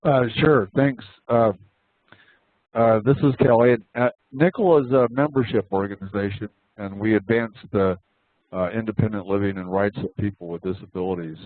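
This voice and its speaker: A man with a cold and a slightly raspy voice giving a prepared overview of his organization, with frequent "uh" fillers. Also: fairly low pitch, moderate pace. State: has a cold. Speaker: nonprofit organization representative. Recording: dry, tinny phone line.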